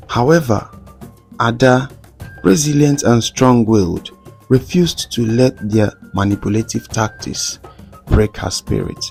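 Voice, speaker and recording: Slow, gravelly male storyteller. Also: medium pitch, a little mellow. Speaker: storyteller narrator. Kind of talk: scripted folktale narration.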